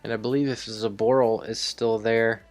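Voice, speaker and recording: A man's voice: mid-range voice, little pitch movement, moderate pace, soft background music. A man hosting a video game series, thinking aloud in casual, rambling commentary with some offhand swearing. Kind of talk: casual improvised gameplay commentary.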